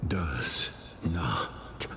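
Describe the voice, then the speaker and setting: Tormented male spirit, low, extremely slow and halting, wildly swooping, heavy ambient noise, game role. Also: big pitch swings, creaky, sharp tone, heavily acted. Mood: bleak, tormented. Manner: halting, broken phrases. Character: tormented, bitter dead soul, male, denying the afterlife. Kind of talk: video game voice acting. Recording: noisy ambience over the voice.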